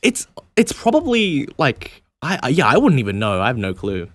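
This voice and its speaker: A young man with a mild Australian accent chats as a podcast guest, his pitch bouncing all over the place. He laughs a lot and rambles on. The sound is close and dry. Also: normal speed, no room sound. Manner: laughs often, lively and rambling. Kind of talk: casual podcast chat.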